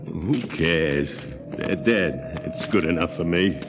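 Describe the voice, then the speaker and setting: Brash, low-voiced crude spaceman. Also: takes it slowly. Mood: callous, dismissive. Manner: brash, dismissive. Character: loud, crude, callous drunken male astronaut. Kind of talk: 1950s radio drama acting. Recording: noisy old radio recording, long reverb.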